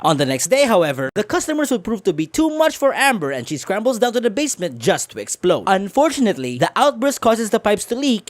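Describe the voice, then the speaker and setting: A woman's voice: mid-range voice, no room sound. A woman narrating a scripted comedic movie review in a sarcastic, exasperated manner. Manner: sarcastic, exasperated, foul-mouthed. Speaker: video reviewer.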